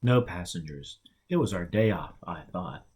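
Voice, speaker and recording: A man's voice: sits low, lively intonation, normal speed, darkish tone, breathy edge. A man narrating a novel as an audiobook reader, acting out the dialogue within the story.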